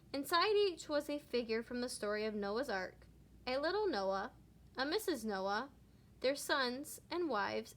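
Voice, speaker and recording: A woman reading a children's story aloud, lingering deliberately on certain words. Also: high-pitched, a bit bright. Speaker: storybook reader. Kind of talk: children's read-aloud narration.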